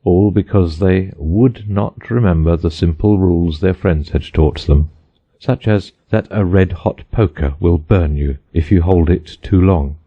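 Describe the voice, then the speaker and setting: Man for audiobook narration: low, husky voice, slightly dull recording. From United States. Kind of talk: scripted storybook narration.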